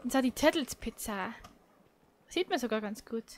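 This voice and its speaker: A woman's voice: a little high, lively intonation, normal speed. A woman chatting casually and teasingly as co-host of a gaming session. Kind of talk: casual chat. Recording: clear background noise.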